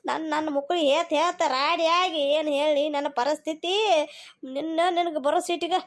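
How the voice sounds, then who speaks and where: A woman's voice: sits high, fairly even intonation, moderate pace. A woman with a North Karnataka accent voicing a cantankerous, aggrieved older mother-in-law in a cartoon comedy, scolding and repeating herself insistently. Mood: indignant complaining.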